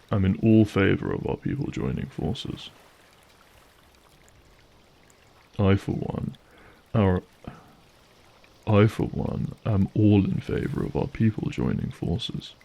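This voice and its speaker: An actor playing a suave, menacing vampire leader in an audio drama, husky and gravelly, with formal, silky politeness. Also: male voice, deep voice, animated, mellow, muffled recording, heavily acted. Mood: grave, resolute. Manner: formal, silky, measured politeness with veiled threat.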